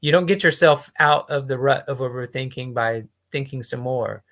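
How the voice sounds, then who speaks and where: A man's voice: mid-range voice, moderate pace, a bit bright. A man hosting a casual conversation, thinking aloud in a reflective way as he puts his thoughts together. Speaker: host.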